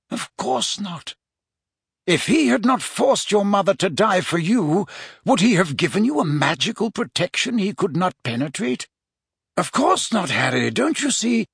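Man acting old male wizard, audiobook mentor, earnest, formal, emphatic, very lively intonation, dry close sound. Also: sits high, gravelly, slightly rough, no room sound, voice pushed up, exaggerated voice.

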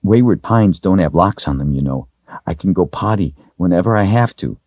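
A man's voice: sits low, animated, normal speed, no echo, dull audio. A man voicing a timid, earnest, plucky little servant girl in a storybook, with simple childlike phrasing. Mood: earnest, confiding.

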